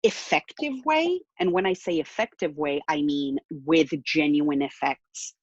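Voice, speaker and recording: A woman's voice: sits low, big pitch swings, measured pace, no room sound, dull audio. A woman in her thirties from Romania, talking earnestly in a casual interview over a video call, with many fillers and repeated words. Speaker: interview guest, blockchain project co-founder.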